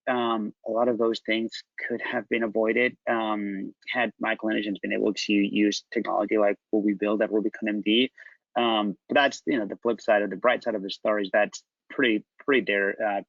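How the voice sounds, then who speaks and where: Gravelly low Spanish-accented man. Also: a bit bright, dry recording, highs cut off. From Spain. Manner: reflective, halting with repeated restarts. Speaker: company founder, panelist. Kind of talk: panel discussion.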